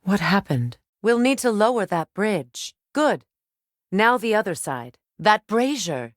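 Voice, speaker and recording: A woman playing a solemn, compassionate, determined ancient goddess in a video game, speaking in a measured, formal, earnest way. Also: medium pitch, big pitch swings, no room sound, studio quality. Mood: grave, sorrowful. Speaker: video game character voice actor. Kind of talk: dramatic game dialogue.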